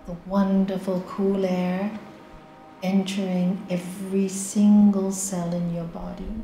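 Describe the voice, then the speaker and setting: A woman leading a guided meditation, giving slow, soft, calm instructions with long pauses. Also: fairly low pitch, reverberant, low-quality recording.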